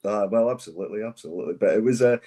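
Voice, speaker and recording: A Scottish man in his forties, hoarse from a cold, chatting casually on a podcast as a rambling storyteller. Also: lowish voice, very fast, mellow. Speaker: podcast co-host. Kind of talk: casual chat.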